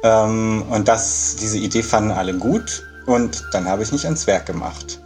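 A man's voice: fairly low pitch, measured pace, darkish tone, faint music behind. A man speaking as an interviewed author, explaining his work in spontaneous talk.

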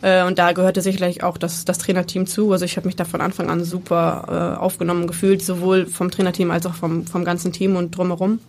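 A woman's voice: fairly low pitch, flat delivery, fast talker, hardly any pauses, a little mellow. A woman in her twenties from Bremen, a football player answering interview questions with many fillers and hesitations. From Bremen, Germany. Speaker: women's football player, guest.

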